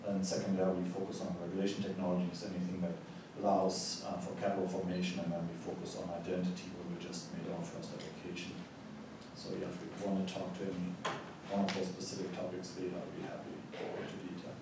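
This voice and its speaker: A man's voice: low-pitched, monotone, fast. A man in his fifties from Germany with a German accent, introducing himself fluently and confidently as a venture fund partner on a conference panel. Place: conference hall. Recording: echoey hall, distant mic, background noise.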